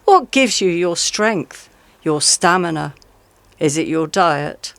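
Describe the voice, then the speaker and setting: An actor playing a cunning female fossa in a radio play, talking in drawn-out, honeyed flattery. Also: medium pitch, creaky, clean tone, dry recording, heavily acted. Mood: sly, coaxing.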